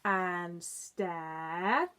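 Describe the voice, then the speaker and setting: A woman's voice: lowish voice, extremely slow, pushed and bright, smooth. A woman teacher reading a children's storybook expressively, adding explanatory asides for young listeners. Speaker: early years teacher reading aloud.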